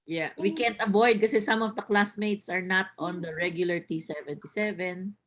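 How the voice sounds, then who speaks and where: A woman's voice: lowish voice, steady tone, normal speed, fairly bright tone. A woman with a Filipino accent chatting casually on a video call, switching between English and Tagalog. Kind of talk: casual chat.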